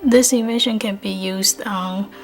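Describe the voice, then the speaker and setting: Female student researcher, Taiwanese accent. Plain non-native English, interview delivery, quiet music bed. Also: medium pitch, fairly even intonation, unhurried, vocal fry. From Taipei, Taiwan. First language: Chinese. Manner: simple, non-native phrasing. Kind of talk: interview-style personal and project account.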